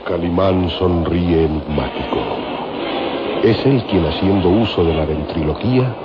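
A man narrating an old radio drama serial in a dramatic, suspenseful scripted style with measured phrasing. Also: low voice, heavy background noise. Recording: muffled old radio recording with loud music.

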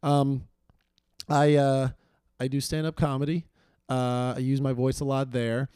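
A hoarse man hosts his podcast, rambling and self-deprecating with lots of fillers. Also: medium pitch, level tone, measured pace, warm tone, dry recording, clean studio sound. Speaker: podcast host. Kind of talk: casual solo intro monologue.

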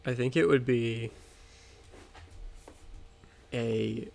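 Gravelly young American man, halting and casual. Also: mid-range voice, a little mellow. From American Midwest. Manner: halting, giggly delivery. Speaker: podcast co-host. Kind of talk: casual comedic improvised storytelling.

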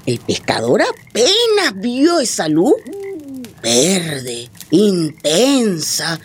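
A woman playing a Colombian grandmother telling spooky village legends to children, in a suspenseful, expressive way, for a radio play. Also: lowish voice, husky, full character voice. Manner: slow, suspenseful, expressive storytelling. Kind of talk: theatrical radio storytelling. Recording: music behind the voice.

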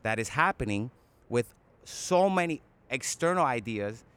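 A man from Miami with a mid-pitched voice, a guest on a casual podcast. He talks emphatically and keeps chiming in to affirm what the others say. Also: animated, measured pace, fairly bright tone, clean tone. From Miami, USA. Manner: affirming, emphatic, keeps saying "yes, sir".

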